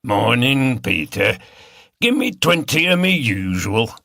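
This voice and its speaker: Man putting on old villager, gruff, colloquial, earthy, bright edge, audiobook character. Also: mid-range voice, sing-song, slow pace, no echo, clean studio sound, full character voice. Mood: matter-of-fact. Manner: blunt, colloquial.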